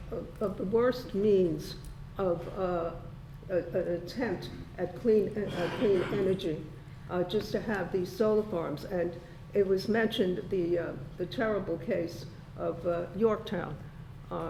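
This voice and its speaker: Halting, creaky-voiced woman in an echoey room. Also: lowish voice, fairly even intonation, mellow. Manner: halting, formal wording. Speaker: resident speaking at a town board meeting. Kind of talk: public comment at a meeting. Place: indoor town board meeting room. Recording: echoey meeting room with background noise.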